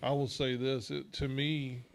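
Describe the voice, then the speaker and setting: A man's voice: mid-range voice, little pitch movement, vocal fry, slight background noise. Earnest man leading a Bible study. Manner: measured, earnest teaching with rhetorical questions. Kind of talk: church bible discussion.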